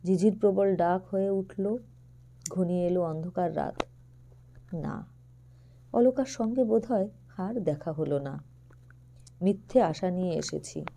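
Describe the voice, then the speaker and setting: A woman's voice: medium pitch, gravelly. A woman narrating an audio story expressively, acting out the characters' lines. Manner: expressive storytelling with voiced dialogue.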